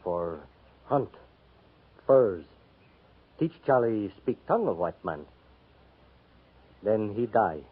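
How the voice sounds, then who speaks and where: Crow scout, husky, clipped broken English, talks of himself by name, 1950s radio drama, tinny lo-fi sound. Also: male voice, on the low side, expressive, slow pace, pauses often, exaggerated voice. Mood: plain. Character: Crow Indian scout, grown man, wry and proud.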